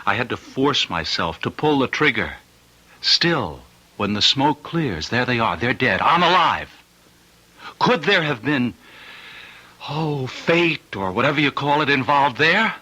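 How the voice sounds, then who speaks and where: A man's voice: medium pitch, sing-song, a little slow, pushed and bright, husky, exaggerated voice. An actor playing an anxious, stubborn policeman husband in an old-time radio drama, speaking earnestly and insistently. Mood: troubled, subdued. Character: anxious, stubborn policeman husband forbidding his wife to wish.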